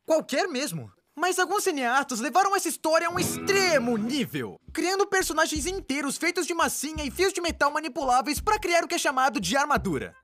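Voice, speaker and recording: A woman voicing an excitable, cheeky young cartoon YouTuber, narrating an explainer in a chatty, jokey way that speaks straight to the viewer. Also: sits high, a little fast, few pauses, soft background music. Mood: chatty, enthusiastic. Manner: chatty, exclamatory, addresses the viewer directly.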